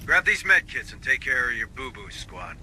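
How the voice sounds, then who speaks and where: Bright, forceful marine sergeant. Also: male voice, medium pitch, sing-song, moderate pace, slight background noise, low-quality recording. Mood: commanding, firm. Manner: authoritative, terse military orders. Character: gruff, commanding marine sergeant leading his squad. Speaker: squad leader. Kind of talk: video game voice acting.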